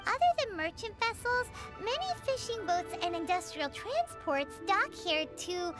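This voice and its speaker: A woman voicing a tiny, talkative, excitable fairy-like girl guide with a squeaky childlike voice in a video game. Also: unhurried, heavily acted. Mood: proud, explaining. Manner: speaks of self in third person, excitable. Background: cheerful game music.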